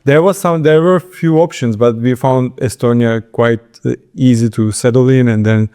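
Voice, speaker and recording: A man with a Turkish accent giving informal interview answers, halting and restarting his words as he goes. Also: mid-range voice, dark tone, clean tone. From Istanbul, Turkey. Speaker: interview guest, entrepreneur.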